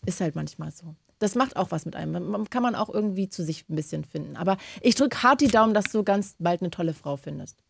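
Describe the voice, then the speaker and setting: A woman's voice: on the low side, expressive, creaky. A woman hosting a radio call-in show, chatting casually and giving callers advice. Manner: chatty, warm-hearted advice, fast talking. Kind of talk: casual radio phone-in chat.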